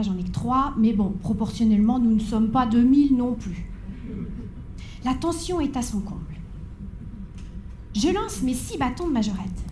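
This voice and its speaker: A woman in her forties giving a stage talk, telling her life story with wry, dramatic pacing and playful asides to the audience. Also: on the high side. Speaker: conference speaker. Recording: echoey hall with faint background noise.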